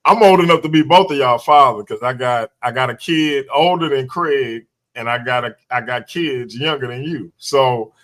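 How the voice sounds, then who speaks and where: A man's voice: mid-range voice, moderate pace, hardly any pauses, fairly bright tone, rough recording. Forty-seven-year-old man musing in slang. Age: 40s. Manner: reflective, talks things through, street slang. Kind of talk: casual chat. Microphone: live stream mic.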